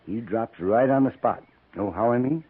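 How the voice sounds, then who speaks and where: An actor playing a coarse, boastful buffalo skinner in a 1950s radio western, talking in a rough, folksy, rambling frontier manner. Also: male voice, medium pitch, normal speed, narrow, tinny audio, natural voice. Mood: boastful relish. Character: boastful, earthy old-West buffalo skinner, coarse and relishing. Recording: thin, band-limited 1950s radio recording.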